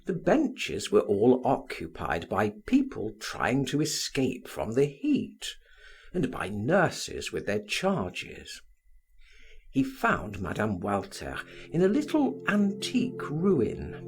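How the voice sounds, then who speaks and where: A man reading a literary story as an audiobook, with measured, expressive dramatic delivery. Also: medium pitch, breathy edge. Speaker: audiobook narrator.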